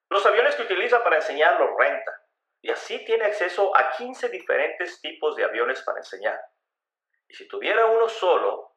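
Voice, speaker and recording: A man's voice: fairly high pitch, bright, forceful tone. A man reading a scripted life story as a dramatic narrator. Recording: roomy, echoing voice recording.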